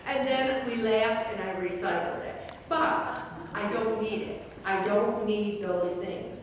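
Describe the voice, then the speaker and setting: A woman's voice: mid-range voice, slow, a little hiss or hum. Chatty woman in her seventies, echoey hall. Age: 70s. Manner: chatty, anecdotal, humorous. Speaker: weight loss group leader. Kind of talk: faith-based motivational talk to a group. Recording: echoey hall, distant mic, faint ambience.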